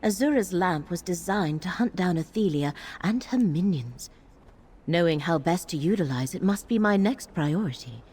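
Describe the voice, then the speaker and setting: A woman's voice: fairly low pitch, animated, normal speed, darkish tone, husky. An actress playing a grave female scholar in a fantasy game, speaking formally and precisely with composure. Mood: composed, grave.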